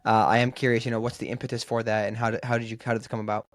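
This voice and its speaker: A male podcast host talks quickly and brightly through his intro and questions. The sound is dry and close, with no room around it. His pitch sits in the middle range. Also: flat delivery, no echo. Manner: brisk, upbeat, scripted intro.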